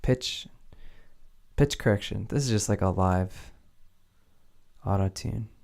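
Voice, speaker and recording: A man thinking out loud in a laid-back, casual way as he demonstrates music production software. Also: fairly low pitch, takes it slowly, gravelly. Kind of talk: casual tutorial chat while working.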